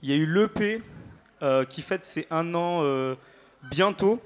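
A man's voice: fairly high pitch, expressive, measured pace, soft background music. A male singer chatting casually as an interview guest on stage, informal and playful.

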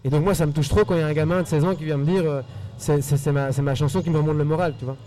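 A man with a Belgian French accent whose first language is Spanish, earnestly answering interview questions in quick rushes with repeated words. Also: fairly high pitch, fairly even intonation, mellow, distant mic, soft background music. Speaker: musician guest.

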